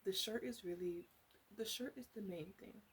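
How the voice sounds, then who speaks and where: A woman playing a flirty, blunt witch in an ASMR roleplay, speaking softly and hesitantly and often dropping into whispers. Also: medium pitch, normal speed, slight background noise, natural voice. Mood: teasing, candid.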